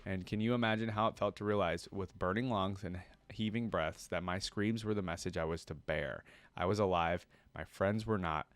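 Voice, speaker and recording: A man's voice: low-pitched, normal speed, no room sound. A man hosting a reaction video, reading a story aloud and commenting casually as a fan. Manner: informal slangy fan talk.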